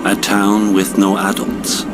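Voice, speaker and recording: A solemn storyteller for a fantasy game cutscene. His voice is very deep and his narration measured and grave, laid over loud music. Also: monotone, rough recording. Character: grave male storyteller of fantasy war lore.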